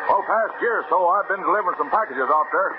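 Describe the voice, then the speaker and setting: A man plays a folksy small-town driver in a 1950s radio drama, high in pitch and deadpan. He talks in laconic rustic phrases with a wry, ominous edge, on a tinny, noisy old recording. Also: fast talker, close to an everyday voice. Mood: wary, ominous. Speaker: supporting radio actor.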